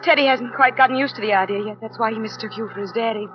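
High-voiced actress as a gentle young widow, vintage radio. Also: female voice, racing, over music, natural voice. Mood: sorrowful. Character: gentle, newly widowed young mother, apologetic and wistful. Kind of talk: 1940s radio drama acting. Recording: muffled 1940s radio broadcast recording.

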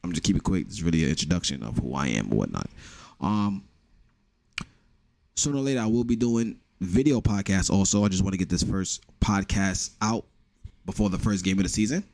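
A man's voice: deep voice, a little fast, creaky, a little mellow, no echo. A young man with a New York accent, hosting a casual solo podcast with blunt, profane joking. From the Bronx, New York. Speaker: podcast host.

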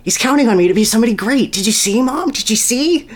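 An adult reader voicing an excitable young boy hedgehog, eager and wide-eyed, in a storybook reading. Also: medium pitch, fast talker, pitched above the performer's own voice, natural voice. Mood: excited.

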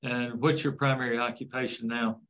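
A man's voice: medium pitch, flat delivery, moderate pace, a bit bright, poor audio. An older man hosting a casual interview, folksy and rambling, with easygoing jokes. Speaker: interview host.

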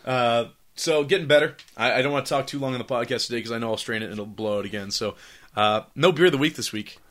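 A man hosting a casual podcast, hoarse while getting over laryngitis, talking in a loose, profane, rambling way with lots of fillers. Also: mid-range voice, fast, fairly bright tone. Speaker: podcast host.

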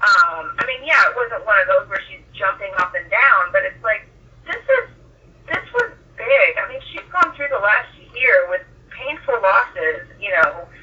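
A woman's voice: mid-range voice, sing-song, normal speed. A woman chatting casually about sport as a podcast guest, speaking emphatically and with strong opinions. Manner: emphatic, opinionated, swears freely. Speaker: podcast guest, tennis fan. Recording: muffled, distant remote-call sound.

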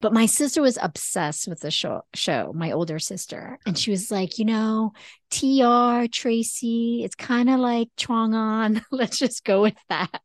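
A woman's voice: fairly high pitch, unhurried, no echo, clean studio sound. A woman hosting a podcast, chatting in a casual interview and laughing easily.

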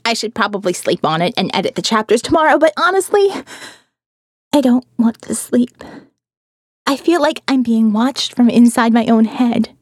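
A female audiobook narrator with a fairly high voice reads a first-person diary. She is expressive and reflective with a wry edge. The recording is close and dry, with no room sound. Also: moderate pace, dry recording. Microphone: close studio mic, dry.

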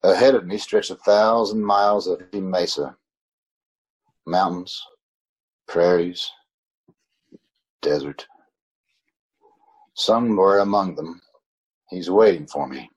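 Guy acting as a haunted young man in an old radio play, husky voice, slow and stammering, distraught. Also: low-pitched, heavily acted, low-quality recording. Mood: haunted, brooding. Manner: halting, stammering.

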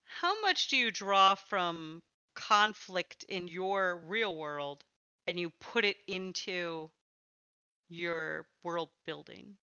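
Woman podcast host chatting w a guest, bright voice, really dry slightly dull recording. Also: on the low side, lively intonation, slow, clear, resonant tone, dry recording. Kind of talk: conversational interview.

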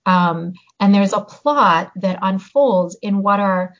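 A woman, born in Hungary, chatting as an interview guest and pausing to search for her words. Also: mid-range voice. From born in Hungary, raised in the US. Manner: reflective, pauses to search for words. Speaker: guest, novelist and teacher. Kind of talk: casual interview chat. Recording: slightly muffled audio.

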